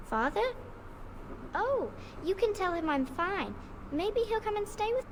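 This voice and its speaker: A naive, cheerful little girl in a fantasy game, with an extremely high voice. She is sing-song and very lively, heard over clear background noise. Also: a little slow, heavily acted. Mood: dismissive. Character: innocent, cheerful little girl enchanted by her cat. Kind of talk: video game character acting.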